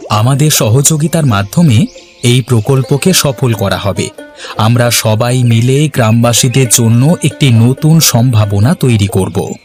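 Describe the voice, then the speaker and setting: A man playing an earnest, grateful villager in a cartoon, talking in formal, measured speeches. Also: mid-range voice, darkish tone, soft background music, close to an everyday voice. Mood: supportive. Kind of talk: scripted cartoon dialogue.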